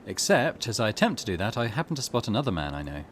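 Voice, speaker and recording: A man narrates and comments on a story game as a channel host. His voice sits on the low side but moves in a very animated way. Musing asides break up the reading, over faint background ambience. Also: quick pace, slight background noise. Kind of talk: let's play commentary and reading game text aloud.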